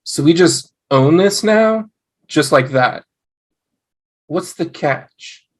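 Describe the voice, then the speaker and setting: A man's voice: fairly high pitch, expressive, slow. A man playing a nerdy, skeptical young husband in an amateur table read, dry and questioning. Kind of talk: amateur table read over video call.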